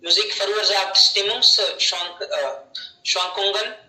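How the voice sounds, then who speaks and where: High, bright man in a roomy room. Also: slow. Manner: hesitant, searching for words. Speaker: German learner. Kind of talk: casual group conversation. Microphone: video call. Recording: roomy sound, distant mic.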